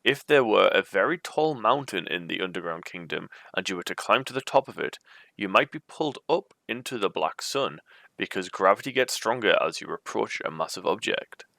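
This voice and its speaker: Low, gravelly male storyteller, dry close sound. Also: lively intonation, moderate pace, pushed and bright, dry recording. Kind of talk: reading a choose-your-own-adventure book aloud.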